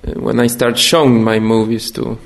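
A young man from Poland with a Polish accent, a documentary filmmaker answering interview questions in halting English, often pausing to search for words. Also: medium pitch, little pitch movement, vocal fry.

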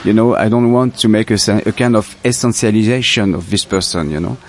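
Man, French accent, lowish voice, halting, thoughtful, festival interview guest. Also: a little hiss or hum. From France. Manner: halting, searching for words, reflective.

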